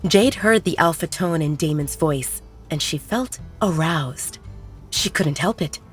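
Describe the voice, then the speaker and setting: A woman's voice: low-pitched, unhurried, faint music behind. A woman narrating a werewolf romance audio drama in a scripted storytelling style.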